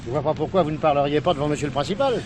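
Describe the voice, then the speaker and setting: Man playing gruff small-town police chief, old french drama, talks fast, noisy background with vehicles. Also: a little high, natural voice. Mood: impatient. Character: blunt, down-to-earth local police chief.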